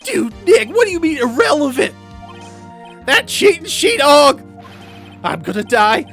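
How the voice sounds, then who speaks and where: A man puts on a dim, indignant young slacker in a courtroom game. The voice swings widely in pitch, whining and dragging out words with slangy melodrama. Game music plays underneath. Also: very animated, unhurried, fairly bright tone, heavily acted. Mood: outraged, despairing. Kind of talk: video game role-play.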